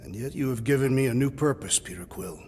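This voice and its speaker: A man playing a solemn, honour-bound alien warrior in a video game cutscene, speaking gravely and formally. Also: mid-range voice, fast, a little mellow, in a large hall, slight background noise, natural voice. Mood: solemn, reflective. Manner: grave, formal, storytelling delivery without contractions.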